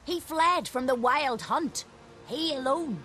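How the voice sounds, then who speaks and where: A woman playing a hesitant, bitter island village woman in a video game, earnest and halting. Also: sits high, slight background noise. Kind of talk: video game cutscene acting. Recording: loud game music under the voice.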